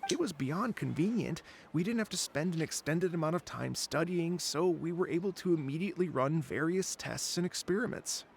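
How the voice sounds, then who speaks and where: High, slightly creaky easygoing researcher. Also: male voice, lively intonation, moderate pace, hardly any pauses, a little hiss or hum. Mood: casual, candid. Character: easygoing, unambitious male academic researcher. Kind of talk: video game voice acting.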